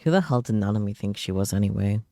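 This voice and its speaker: A man's voice: lowish voice, animated, quick pace, gravelly, dark tone, no echo, studio quality. A man reading visual novel text aloud as a streamer, with wry, offhand asides. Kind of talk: reading game text aloud with casual commentary. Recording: game music behind the voice. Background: video game music.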